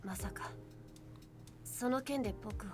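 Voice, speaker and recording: An actress playing a calm, guarded young woman with boyish wording in a Japanese visual novel, speaking in a cool, measured way. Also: mid-range voice, natural voice. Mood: wary. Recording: music over game dialogue.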